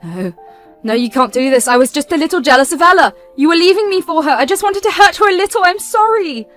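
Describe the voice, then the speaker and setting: A woman voicing a spiteful, manipulative young woman who talks loud, shrill and taunting. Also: expressive, a little fast, soft background music, lightly acted. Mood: pleading.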